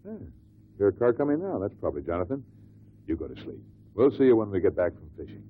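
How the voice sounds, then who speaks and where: An actor playing an affectionate, wryly amused judge and husband in a 1950s radio drama. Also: male voice, low voice, sing-song, fast. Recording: muffled, noisy old 1950 radio recording.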